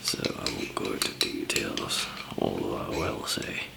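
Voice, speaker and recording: A man doing a whispered ASMR roleplay as a calm apocalypse survivor, murmuring in a hesitant, halting way. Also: very noisy. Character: calm zombie apocalypse survivor handing out supplies.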